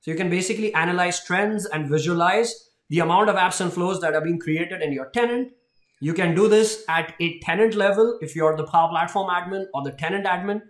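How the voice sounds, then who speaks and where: High-voiced man presenting in a roomy space. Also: fairly quick. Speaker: tech presenter. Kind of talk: explanatory product announcement presentation. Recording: roomy indoor echo.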